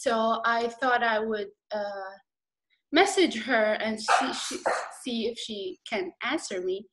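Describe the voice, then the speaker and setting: A woman's voice: a little high, fairly bright tone, low-quality recording. A woman with an Iraqi accent telling her personal story as a guest on a video call, hesitant and slightly nervous, with many fillers. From Iraq.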